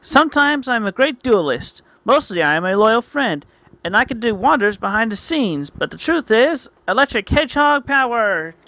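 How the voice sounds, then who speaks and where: A man's voice: very high voice, very animated, normal speed, pushed and bright, voice pushed up, exaggerated voice, low-quality recording. A man voicing an earnest, self-styled hero duelist in a declamatory, melodramatic way, like amateur anime-style storytelling. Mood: heroic, pleading.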